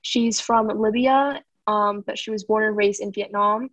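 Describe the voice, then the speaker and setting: A woman's voice: medium pitch, steady tone, unhurried, fairly bright tone, no room sound. A young woman co-hosting a podcast, casually introducing a guest and asking questions. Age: young adult. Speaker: podcast co-host.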